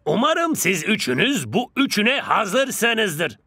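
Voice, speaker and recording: An actor playing a vain, cocky star footballer in a cartoon dub, drawling theatrically. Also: male voice, high voice, big pitch swings, takes it slowly, bright, forceful tone, slightly rough, no echo, clean studio sound, full character voice. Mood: doubtful. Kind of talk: Turkish animated cartoon dub.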